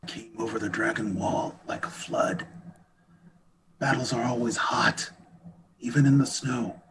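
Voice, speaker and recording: Casting: man to play delirious father, mid-pitched, haunted war memories, faint background noise. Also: steady tone, measured pace, a bit bright, close to an everyday voice. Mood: feverish, haunted. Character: fevered father recalling war, rambling. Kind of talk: table read of a screenplay.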